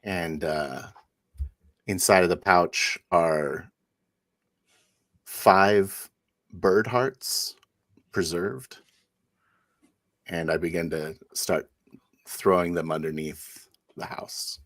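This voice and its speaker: A man playing a tabletop roleplaying game, narrating his character's actions haltingly and deliberately. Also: sits low, fairly bright tone, no echo, studio quality. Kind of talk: improvised first-person game narration.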